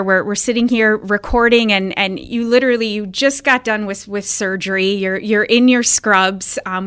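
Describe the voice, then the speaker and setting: A woman's voice: mid-range voice, a bit bright, clean tone. A woman speaking as a podcast host, introducing and interviewing a guest in a conversational way.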